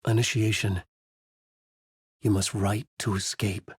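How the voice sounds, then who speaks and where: A husky, low-voiced male writer narrates his own dark thriller like a video game noir. The delivery is grave, moody and deliberate. The sound is close and dry. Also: level tone, dry recording, heavily acted. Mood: brooding.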